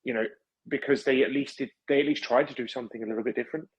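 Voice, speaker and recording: A man from the United Kingdom in a casual chat, explaining his points in a measured way. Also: medium pitch, steady tone, slightly rough. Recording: dull, lo-fi mic.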